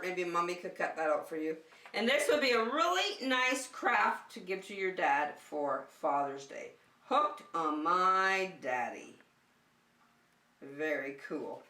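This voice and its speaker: A woman's voice: fairly low pitch, sharp tone. A woman leading children's circle time, talking simply and encouragingly to young children as a teacher does. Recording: roomy, echoey, distant microphone.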